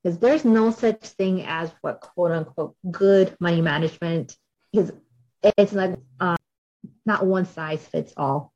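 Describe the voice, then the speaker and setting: Host: upbeat talkative woman, low-ish pitch, lo-fi recording. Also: measured pace, a little mellow. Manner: bubbly, chatty, many fillers. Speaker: podcast host. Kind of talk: podcast interview. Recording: dull lo-fi audio.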